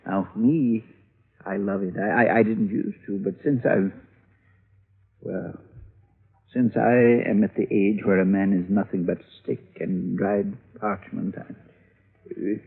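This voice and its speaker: An actor in a 1950s radio drama playing a frail, nervous elderly man who grows orchids, halting and fussy in his speech; the recording sounds like an old broadcast. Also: on the low side, steady tone, vocal fry, slightly rough, phone-quality sound, exaggerated voice. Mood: fretful. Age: older.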